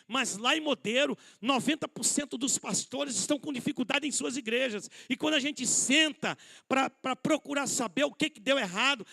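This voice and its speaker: A man with a strikingly high voice, a gravelly rasp and a sharp, bright edge gives a conversational sermon at a church conference. He is a middle-aged pastor who calls the congregation brothers and leans on rhetorical questions. He pokes gentle fun at himself along the way. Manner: addresses listeners as brothers, rhetorical questions, self-deprecating humor. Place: church hall.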